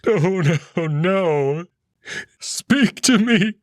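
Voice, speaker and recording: A man's voice: sits high, animated, drawn-out words, dry recording, studio quality, voice pushed up, full character voice. A man voicing a grieving young male wolf who pleads desperately with a dying loved one, over music. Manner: pleading, choked with grief.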